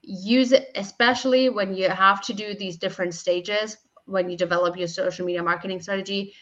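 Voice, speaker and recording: A young woman speaks in a very bright, forward voice at a middle pitch. She gives practical advice conversationally, with some hesitant phrasing. The recording is a little dull and lo-fi. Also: fairly even intonation, a little fast. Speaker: podcast guest.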